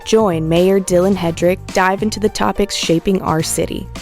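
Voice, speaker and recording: A woman's voice: fairly low pitch, fairly even intonation, moderate pace, a little mellow, soft background music. A woman reading a scripted podcast intro as announcer, in an upbeat promotional way.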